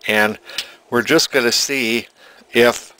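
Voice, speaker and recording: Slow-talking man with a bright voice. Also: mid-range voice, fairly even intonation, slight background noise, poor audio. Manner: halting, thinking aloud. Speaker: hobbyist electronics restorer. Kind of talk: informal narrated repair demonstration. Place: cluttered indoor workshop.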